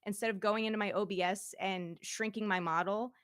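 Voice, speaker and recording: A woman's voice: medium pitch, fairly even intonation, a little fast, a bit bright, no room sound, studio quality. A woman VTuber chatting casually and demoing her streaming setup, giggling often. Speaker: VTuber guest. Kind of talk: casual livestream demo chat. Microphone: streaming microphone.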